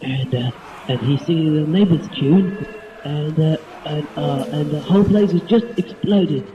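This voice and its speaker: A male performer playing a bumbling, halting snooker commentator in a spoof sports commentary. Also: a little high, natural voice. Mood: muddled. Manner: slow, halting, muddled. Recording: dull, noisy homemade tape.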